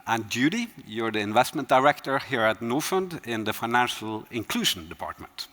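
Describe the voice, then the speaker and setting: A man's voice: mid-range voice, big pitch swings, moderate pace, fairly bright tone. A man on a conference stage moderating a panel, asking questions with frequent fillers.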